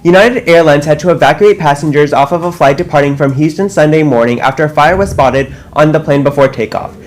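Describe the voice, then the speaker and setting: A man's voice: fairly high pitch, a little fast. A teenage boy co-anchoring a school news show, reading announcements steadily with small slips. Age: teens.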